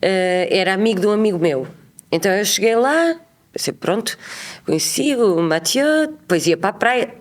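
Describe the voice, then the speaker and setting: A woman in her thirties from Portugal with a European Portuguese accent, a guest telling travel stories in a chatty, lively way. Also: mid-range voice, normal speed. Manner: chatty, animated, acts out quoted voices. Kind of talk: casual storytelling interview.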